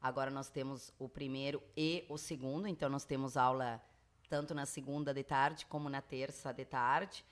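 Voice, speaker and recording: A woman with a Spanish accent, a teacher talking in a measured, explanatory way on a school podcast, with many fillers. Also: extremely low pitch, steady tone.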